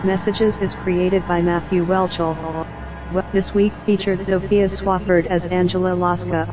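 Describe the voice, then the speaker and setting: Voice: mid-range voice, dull audio. An announcer reading scripted podcast credits in a plain narration.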